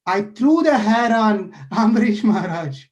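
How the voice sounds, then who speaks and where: A man's voice: high voice, expressive, unhurried, a little mellow, lo-fi sound, voice pushed up. A man voicing a frightened, hot-tempered old sage who pleads for help, in a devotional story. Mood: fearful, pleading. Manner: pleading, fearful.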